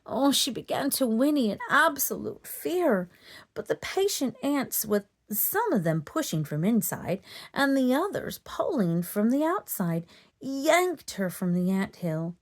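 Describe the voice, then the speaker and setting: Woman, storyteller, children's read-aloud, dramatic delivery, lively swooping intonation. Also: fairly high pitch, measured pace.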